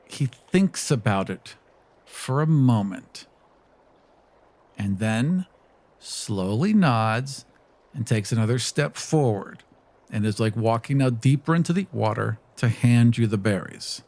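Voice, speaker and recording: A man's voice: mid-range voice, lively intonation, takes it slowly, a little mellow. A man hosting a podcast, casually narrating as a tabletop roleplaying player.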